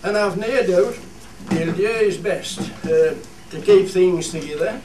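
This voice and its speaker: A man's voice: high voice, a little slow, a little mellow. A man playing a hearty old shepherd who chairs a village meeting in a radio play, with a Cumbrian accent and a homely, rallying manner. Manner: homely, rallying, repeats phrases. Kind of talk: dialect radio play acting. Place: theatre studio. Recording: roomy, distant mic, faint noise.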